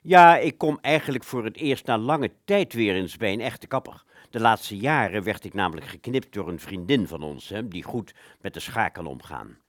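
Man acting as polite male salon client, rambling, hesitant, swooping intonation, lowish pitch, radio play. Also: lively intonation, moderate pace, hardly any pauses, dry recording, studio quality, lightly acted. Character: polite, talkative, slightly flustered male hair salon customer. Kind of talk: Dutch amateur radio play dialogue.

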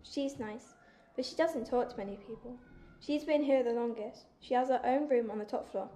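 A female performer playing a timid, kindly bullied orphan girl in a wartime drama, hesitant and gentle. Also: on the high side, normal speed, a little hiss or hum, close to an everyday voice. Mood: subdued.

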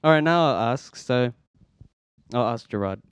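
A man, hosting a casual faith podcast in an earnest, halting way with many restarts. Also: medium pitch, expressive, clean tone, no room sound. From Philippines, raised in Australia. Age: 20s. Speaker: podcast host. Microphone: podcast microphone.

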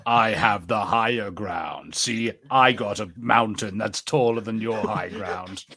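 A man's voice: fairly low pitch, unhurried, pushed and bright. A man co-hosting a podcast with casual, playful, joking banter. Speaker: podcast co-host.

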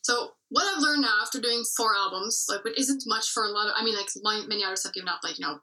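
A woman musician talks through her creative process in a relaxed interview, with a bright, clear tone. She picks her words as she goes, circling back and restarting. Her voice sits a little back from the microphone. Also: mid-range voice, fast talker, distant mic, rough recording. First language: English. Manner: reflective, many fillers and restarts. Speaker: musician guest. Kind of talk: casual interview chat.

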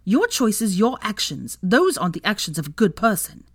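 A woman's voice: medium pitch, sing-song, moderate pace, no echo, clean studio sound, pitched above the performer's own voice. A woman voicing an indignant young woman who scolds her wayward brother emphatically in a story. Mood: indignant, ashamed. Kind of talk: dramatised fan fiction reading.

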